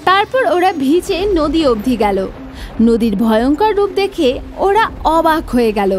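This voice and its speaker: A woman's voice: high-pitched, big pitch swings, normal speed, a little mellow, faint music behind. A woman narrating a scripted children's story as the storyteller.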